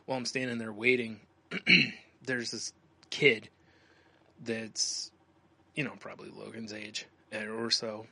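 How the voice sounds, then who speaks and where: A man's voice: fairly low pitch, flat delivery, slow, vocal fry, pauses often, sharp tone, breathy edge. A man co-hosting a podcast, telling casual comedic anecdotes in a joking way, often chuckling. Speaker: podcast co-host.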